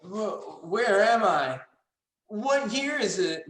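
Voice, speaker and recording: A woman's voice: mid-range voice, animated, takes it slowly, reverberant. A woman playing an earnest, defiant young male time traveler in a melodramatic sci-fi script reading, often bewildered and indignant. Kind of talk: live dramatic reading of a sci-fi screenplay.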